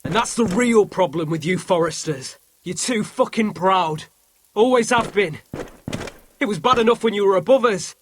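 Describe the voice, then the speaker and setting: A cruel young lord in a fantasy game, all sneer and threat. His voice sits high and leaps about as he taunts and bullies. Slight noise sits behind the voice. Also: animated, moderate pace. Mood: contemptuous. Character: arrogant, cruel young lord bullying a conquered family. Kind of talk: dramatic video game acting.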